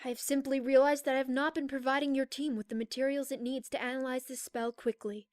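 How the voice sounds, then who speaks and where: Casting: woman to play stately alicorn princess for audio story, high-pitched, measured, formal and serious, recorded very dry. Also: little pitch movement, darkish tone, no echo, close to an everyday voice. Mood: composed, serious. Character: regal, grave adult female alicorn pony princess. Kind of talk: scripted audio drama acting.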